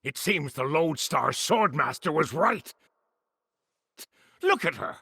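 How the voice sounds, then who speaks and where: A defiant game hothead with a high, forceful voice that has a gravelly edge. He is biting, indignant and accusatory. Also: very animated, takes it slowly, no room sound, clean studio sound, exaggerated voice. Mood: angry, accusing. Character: hot-tempered, defiant male sect member. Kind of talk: dramatic video game acting.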